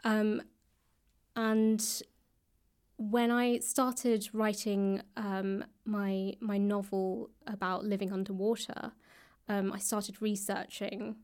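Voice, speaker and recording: A woman's voice: mid-range voice, flat delivery, slow pace, a little mellow, clear, resonant tone. A woman giving thoughtful, explanatory answers as an interview guest in a conversational style. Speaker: interview guest, author and actor.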